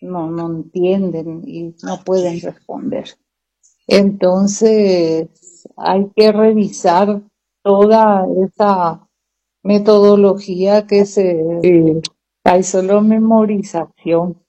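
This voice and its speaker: On a dull, lo-fi recording, a Paraguayan woman speaks slowly in a mellow voice. She is an educator being interviewed, hesitant and deliberate, repeating phrases as she goes. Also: medium pitch, steady tone. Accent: Paraguayan Spanish. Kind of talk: conversational interview. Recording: dull lo-fi muffled sound.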